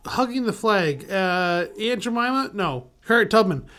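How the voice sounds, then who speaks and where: A man's voice: sits high, animated, fairly bright tone. A man co-hosting a casual podcast chat, swearing freely, laughing often and stumbling through his thoughts with lots of fillers. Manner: profane, laughs often, halting with many fillers. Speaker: podcast co-host.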